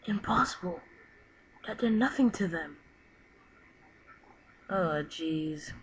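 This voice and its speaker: Slow-paced woman acting out a noisy game roleplay. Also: lowish voice. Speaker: roleplay video creator voicing the characters. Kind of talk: improvised dramatic gaming roleplay. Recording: clearly noisy home recording with clicks.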